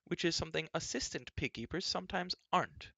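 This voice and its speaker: Guy putting on a tart young princess for a storybook, high pitched, prim and outspoken. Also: animated, fairly quick, vocal fry, pushed and bright, no echo, full character voice. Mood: tart, reasoning. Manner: prim, chiding, reasoning. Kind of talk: audiobook character voice.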